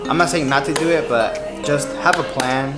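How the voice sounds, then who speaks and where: A man's voice: fairly high pitch, normal speed, loud music behind the voice. A man in his twenties, a barber and vlogger, chatting casually and rambling with lots of fillers and restarts. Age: 20s. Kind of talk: casual chat.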